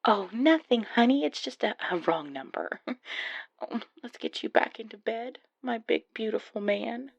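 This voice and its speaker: Lady doing a nasty scheming gold digger wife, slow n gravelly, sneering, audio drama. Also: a little high, no echo, lo-fi sound. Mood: falsely sweet. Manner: sneering, threatening, hissed contempt. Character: scheming, venomous gold-digging wife of a rich old man. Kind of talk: audio roleplay acting.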